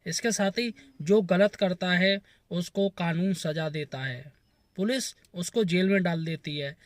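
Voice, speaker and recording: High-pitched male channel host. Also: a little slow, dry recording, clean studio sound. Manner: earnest, advising. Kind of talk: direct address to viewers, moralizing outro.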